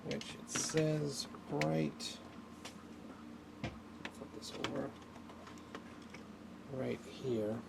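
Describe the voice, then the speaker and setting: A man giving a casual, unscripted hands-on tutorial, talking haltingly as he thinks aloud while working. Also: medium pitch, vocal fry, very noisy. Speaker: hobbyist presenter of a radio repair video.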